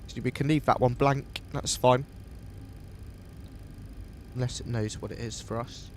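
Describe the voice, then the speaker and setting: A sniffly man at an ordinary middle pitch, working through settings methodically and talking to himself as he goes. His voice has a slight stuffiness from a cold, over a faint low rumble behind him. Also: steady tone, normal speed. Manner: methodical, thinks aloud step by step. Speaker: flight sim streamer. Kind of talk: casual live walkthrough of a flight simulator.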